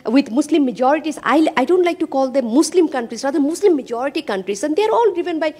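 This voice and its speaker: A woman's voice: sits high, lively intonation, fast, a little mellow. A middle-aged woman, a professor speaking on a roundtable panel, emphatic, with many fillers, into a handheld mic in a conference hall. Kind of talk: roundtable discussion.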